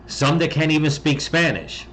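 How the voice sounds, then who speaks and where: Higher-pitched American man, blunt and emphatic. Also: lively intonation, normal speed, fairly bright tone. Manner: blunt, emphatic, mildly profane. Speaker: video commentator. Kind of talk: casual reaction commentary.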